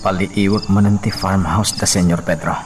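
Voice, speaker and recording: Man acting a low-voiced furtive schemer. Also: level tone, moderate pace, lightly acted. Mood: furtive, determined. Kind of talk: Ilocano radio drama monologue. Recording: distant voice under clear music.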